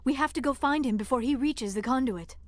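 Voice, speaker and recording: A woman's voice: high voice, a little fast, no room sound, close to an everyday voice. A woman playing an earnest, scholarly squad member in a sci-fi game, with formal, precise phrasing.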